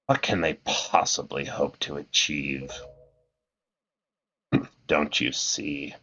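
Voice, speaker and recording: A grandiose demon king drawls out his contempt, low and unhurried, as a man puts him on. The voice stays deep and theatrical, every line weighed out like a video game villain's monologue. Its tone stays bright and forceful even at that depth. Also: breathy edge, muffled recording, exaggerated voice. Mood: contemptuous. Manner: slow, theatrical, melodramatic delivery. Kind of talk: reading game dialogue aloud in a put-on voice.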